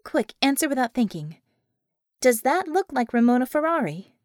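A woman voicing a small young female magician-technician who explains things in a brisk, businesslike way, in an audiobook. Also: high voice, big pitch swings, no room sound, clean studio sound, voice pushed up. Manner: matter-of-fact, businesslike, explanatory.

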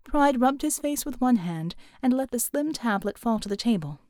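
A woman's voice: on the high side, expressive, normal speed, dark tone, no room sound, clean studio sound. A woman reading an audiobook, narrating fiction expressively from a script.

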